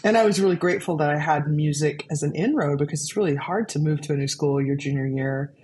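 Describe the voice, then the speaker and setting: A woman from Arkansas with a low speaking voice, telling stories as an interview guest, chatty and laughing often. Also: fast talker, a little mellow, a little hiss or hum. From Arkansas, USA. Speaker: guest composer. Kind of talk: casual conversational storytelling.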